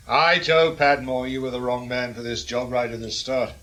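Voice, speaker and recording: An actor playing a reflective, wry older British ex-intelligence officer in a radio drama, musing and measured. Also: male voice, mid-range voice, steady tone. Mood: wry, teasing. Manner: musing, measured, gently teasing. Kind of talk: naturalistic radio drama acting.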